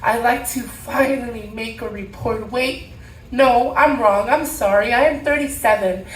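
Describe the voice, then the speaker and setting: Female spoken word poet, thirties, emphatic and repetitive delivery, recorded off-mic with faint noise. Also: a little high. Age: 30s. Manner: emphatic, with deliberate repeated phrases. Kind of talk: spoken word poetry performed live. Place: room at a live poetry event. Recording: roomy, distant mic, faint background noise.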